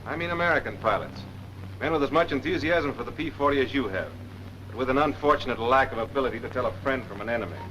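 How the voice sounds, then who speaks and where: An actor playing a stern but patient army air force major in a 1940s film, measured and explanatory. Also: male voice, medium pitch, fairly bright tone, close to an everyday voice. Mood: welcoming, pointed. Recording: noisy 1940s film soundtrack.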